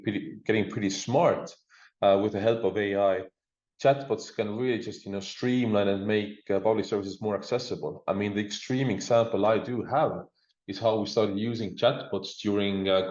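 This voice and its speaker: Low-voiced Estonian man, muffled roomy sound. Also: fairly even intonation, moderate pace, darkish tone. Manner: informal, uses frequent discourse markers like "look" and "you know". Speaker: guest speaker, digital government expert. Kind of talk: conference presentation, conversational. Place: speaking remotely over a video link.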